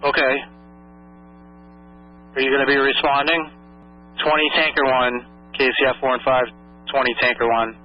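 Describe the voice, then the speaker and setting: A man's voice: mid-range voice, level tone, moderate pace, long pauses. A man working as a fire dispatcher, making procedural radio announcements in clipped phrases and repeating messages back. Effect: two-way radio. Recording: muffled lo-fi dispatch radio audio.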